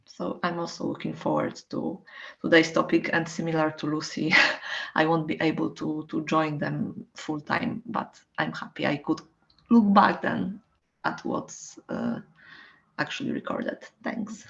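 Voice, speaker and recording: A woman with a Polish accent introducing herself on a call, speaking haltingly. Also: sits low, lively intonation, creaky. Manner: halting, with small laughs. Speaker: scientist, workshop participant. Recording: dull lo-fi call audio.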